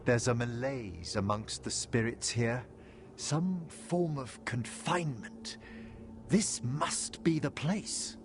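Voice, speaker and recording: An actor playing a refined, earnest scholarly necromancer in a video game, with formal, eloquent and carefully worded speech. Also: male voice, medium pitch, takes it slowly, a little hiss or hum. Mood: composed, fascinated. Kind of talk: video game dialogue acting. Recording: music behind the voice.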